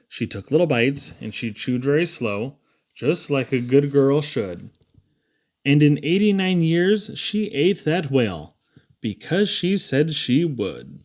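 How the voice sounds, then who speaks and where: A man reading children's poetry aloud in an expressive, theatrical storytelling style with dramatic emphasis. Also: medium pitch, slow. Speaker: reader.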